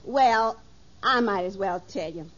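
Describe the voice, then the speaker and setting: An actress playing a bossy, sharp-tongued wife in a 1950s radio sitcom, theatrical and emphatic. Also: female voice, mid-range voice, lively intonation, unhurried. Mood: explaining. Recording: dull old radio recording.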